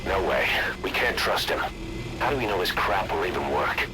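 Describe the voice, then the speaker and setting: An actor playing a bitter, distrustful military second-in-command in a video game, blunt and scornful. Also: male voice, deep voice, animated, moderate pace, noisy background.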